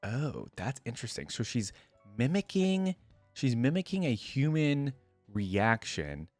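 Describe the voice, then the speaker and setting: A man's voice: mid-range voice, wildly swooping pitch, measured pace, darkish tone, dry recording, soft background music. A man hosting a reaction video, reading a story aloud and commenting on it casually.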